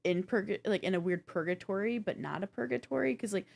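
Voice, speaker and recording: A woman co-hosting a podcast in casual gaming chat, telling things in an animated, self-deprecating way. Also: medium pitch, quick pace, creaky. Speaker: podcast co-host.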